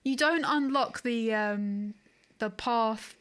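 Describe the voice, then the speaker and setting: Female games podcast co-host, voice a little high, casual and wry, informal storyteller. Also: slow pace, a bit bright. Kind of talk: casual chat about video games.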